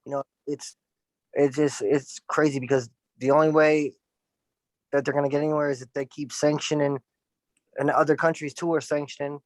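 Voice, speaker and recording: A man's voice: on the high side, flat delivery, moderate pace, a little mellow, no echo. A man in casual chat, blunt and opinionated, with loose "like" fillers.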